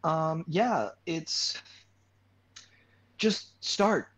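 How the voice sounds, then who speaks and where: A man joining a livestream chat as a guest, speaking haltingly with many restarts and repeated words. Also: on the high side, lively intonation, a bit bright.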